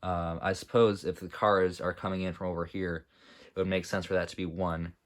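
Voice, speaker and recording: Deep-voiced man with a sore throat. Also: little pitch movement, fairly quick. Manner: rambling, with many fillers and self-corrections. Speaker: model airport hobbyist showing his build. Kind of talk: casual narrated walkthrough.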